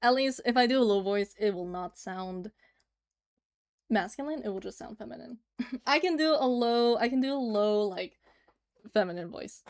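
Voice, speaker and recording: A woman's voice: on the high side, expressive, moderate pace. A woman livestreaming as she draws, chatting casually and giggling as she answers her chat. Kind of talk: casual chat while drawing on stream.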